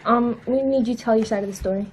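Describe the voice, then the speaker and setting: High-voiced teenage girl mediator acted by a student. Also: fast talker, a little mellow, slightly rough. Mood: patient, questioning. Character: patient female student mediator asking about feelings. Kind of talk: scripted role-play dialogue. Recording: noisy room ambience.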